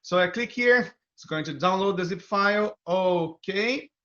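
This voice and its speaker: A man's voice: high-pitched, a little slow, pushed and bright. A man with a Brazilian accent gives an upbeat, enthusiastic technical talk, walking viewers through a live coding demo. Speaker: developer advocate presenting a tech talk. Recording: dull, band-limited video stream audio.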